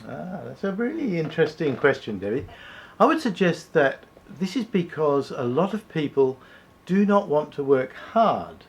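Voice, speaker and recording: A man co-hosting a talk segment, speaking in a measured, deliberate way and slowing down to stress key points. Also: a little high, sing-song, creaky. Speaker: co-host. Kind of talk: scripted conversational presenting.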